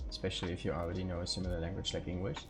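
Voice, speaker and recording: A young Hungarian man with a deep, low voice chats loosely with his viewers as he plays, leaning on fillers like "like" and "right". Soft music plays faintly under his talk. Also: little pitch movement, rapid-fire. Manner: chatty, answers viewer chat, many fillers. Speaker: streamer. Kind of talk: casual chat while gaming.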